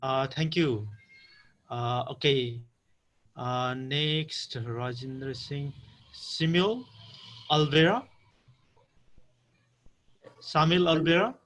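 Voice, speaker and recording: A man facilitating an online meeting in an informal, halting way, checking in with listeners as he calls on participants. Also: medium pitch, fairly bright tone, low-quality recording. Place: online video call.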